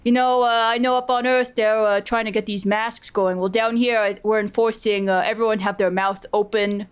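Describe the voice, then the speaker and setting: A performer voicing a smug, petty male demon president in a put-on accent, with a halting, boastful delivery, in an improvised comedy. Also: medium pitch, steady tone, clear, resonant tone, natural voice. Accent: put-on character accent. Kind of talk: improvised comedy podcast acting.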